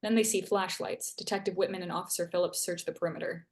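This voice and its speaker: A woman's voice: on the low side, fairly even intonation, fast. A woman narrating scripted action as the stage-direction reader at a live screenplay table read.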